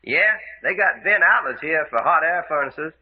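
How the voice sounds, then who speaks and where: High, raspy adventurer sidekick. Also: male voice, animated, normal speed. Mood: grumbling. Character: folksy, excitable, unschooled male adventurer sidekick. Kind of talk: old-time radio drama acting. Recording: muffled radio recording.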